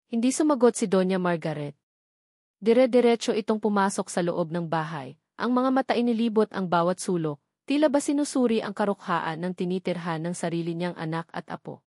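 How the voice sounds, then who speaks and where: A woman's voice: on the low side, lively intonation, fairly quick, darkish tone, no echo. Woman narrating a drama story, close dry sound.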